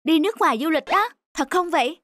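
A woman voicing a sensitive, expressive young woman, an emotional girlfriend in a cartoon. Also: very high-pitched, fast, no echo. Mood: envious. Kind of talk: cartoon dubbing.

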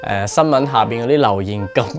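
A man's voice: mid-range voice, fairly quick, over music. A man in his forties with a Hong Kong Cantonese accent, talking casually and reflectively in an interview in a small studio. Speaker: radio drama writer and performer being interviewed.

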